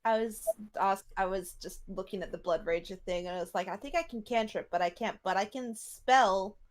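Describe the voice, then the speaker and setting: A woman's voice: medium pitch, moderate pace. A woman talking casually as a player in an online tabletop game. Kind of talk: casual chat. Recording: faint noise on home mic.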